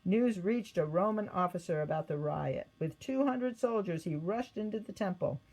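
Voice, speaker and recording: Husky-voiced woman reading a story aloud. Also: on the low side, normal speed, poor audio. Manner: expressive read-aloud with acted quotes. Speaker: storybook reader. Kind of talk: scripted narration of a children's Bible story.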